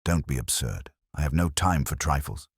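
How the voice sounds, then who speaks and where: Man reading as an irritable, husky town councillor. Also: extremely low pitch, lively intonation, normal speed, no echo, studio quality, exaggerated voice. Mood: defensive, dismissive. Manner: irritated, dismissive.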